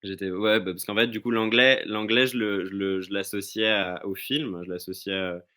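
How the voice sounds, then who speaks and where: Deep voiced guy on a podcast, telling stories, keeps restarting his sentences. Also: fairly even intonation, pushed and bright. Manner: halting, searching for words with repeated restarts. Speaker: podcast guest. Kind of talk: casual chat, personal anecdotes. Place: remote recording from own location.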